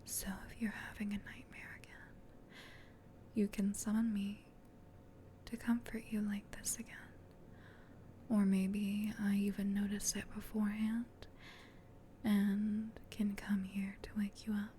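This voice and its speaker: A woman voicing a gentle, protective female demon in a soft, soothing whisper for an ASMR comfort roleplay. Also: medium pitch, flat delivery, a little hiss or hum, lightly acted. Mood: gentle, reassuring. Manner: soothing, tender, reassuring, unhurried.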